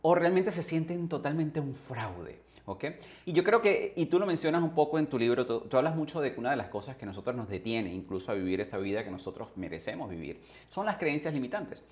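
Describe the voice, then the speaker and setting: A man in his forties hosts from a small studio with a microphone on a boom arm. He speaks at an ordinary middle pitch, affirming his guest and asking long, searching questions. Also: expressive, fairly quick, few pauses, a little mellow. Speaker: host. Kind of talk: conversational interview. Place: studio with branded backdrop.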